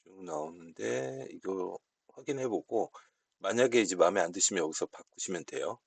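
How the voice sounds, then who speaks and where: A man giving a casual, unscripted software walkthrough, explaining politely step by step. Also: on the low side, animated, moderate pace, vocal fry, husky, no echo. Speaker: software tutorial presenter.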